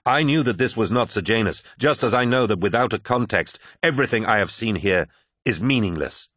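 A man's voice: on the low side, lively intonation, fairly quick, fairly bright tone, breathy edge, no echo, studio quality. A man voicing a proud, commanding warlord in an audiobook, defiant and suspicious, with an imperious, emphatic delivery. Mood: scornful.

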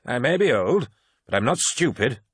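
A man voicing an old male veteran warrior who rambles and lectures in a folksy, gently patronizing way, with a husky voice. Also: medium pitch, very animated, moderate pace, dry recording, studio quality, voice pushed up. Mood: folksy lecturing. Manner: folksy, lecturing, calls listener boy.

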